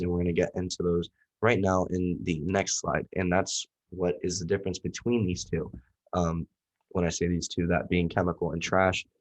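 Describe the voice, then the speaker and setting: Man, young adult, very deep voice, stuffy from a cold, casual rambling class talk. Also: a little mellow, rough recording. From Southwest Florida. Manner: casual, rambling, many fillers. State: nasal from a cold. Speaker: student presenter. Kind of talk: class presentation.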